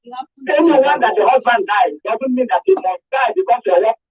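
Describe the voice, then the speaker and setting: A man argues forcefully on a radio panel. His pitch is very high for a man and moves around a lot. The recording is dull and band-limited. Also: animated, normal speed, husky. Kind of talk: heated radio discussion.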